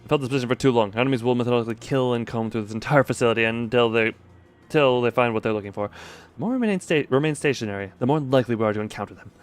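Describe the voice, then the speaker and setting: A man's voice: mid-range voice, racing, smooth, faint music behind. A man live streaming a game, reading its dialogue aloud and breaking off for his own asides.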